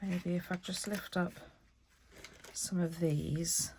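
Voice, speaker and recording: A woman's voice: fairly low pitch, fairly even intonation, slow pace, background noise. A woman hosting a craft vlog, chatting and thinking aloud as she works, a bit stuffy with a cold. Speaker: craft channel host. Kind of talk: casual crafting vlog talk.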